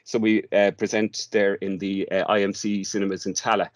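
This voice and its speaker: A man with a fairly low voice and a dry, close sound explains his work in an informal interview. He speaks hesitantly, piecing his thoughts together as he goes. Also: level tone, moderate pace, no echo. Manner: hesitant, thinking aloud in fragments. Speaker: theatre director, interview guest.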